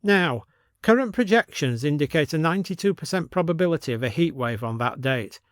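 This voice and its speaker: A man acts out an earnest village secretary who talks like a computer. She is high-pitched, clipped and technical, a strange matter-of-fact woman in a dry audio story recording. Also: expressive, fairly quick, no room sound, close to an everyday voice. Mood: clinical. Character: oddly robotic, earnest village woman taking committee minutes.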